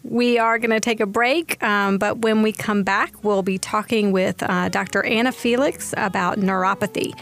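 A woman co-hosting a radio health show, a smooth and friendly presenter. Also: medium pitch, fairly even intonation, moderate pace, few pauses, a bit bright. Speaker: radio host, family doctor.

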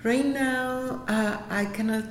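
A woman from Puerto Rico whose first language is Spanish speaks English with a Puerto Rican accent, answering interview questions in a halting way with many fillers and laughs. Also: fairly high pitch, steady tone. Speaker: interviewee, health center employee. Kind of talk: casual interview. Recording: echoey room, faint background noise.

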